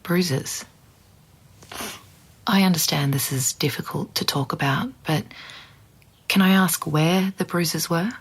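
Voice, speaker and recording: An actress playing a composed investigative podcast host, probing but sympathetic, in an audio drama. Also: female voice, sits low, takes it slowly, pauses often, breathy edge, heavily acted. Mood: gentle, probing. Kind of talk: scripted podcast narration and interviewing.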